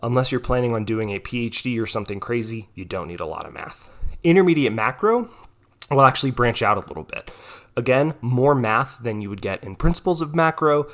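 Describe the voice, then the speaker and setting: A man's voice: medium pitch, expressive, a little fast, fairly bright tone. A young man with a cold, a little nasal, explaining a topic in a casual tutoring talk with frequent "right?" tags. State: has a cold, may sound nasal. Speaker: economics tutor.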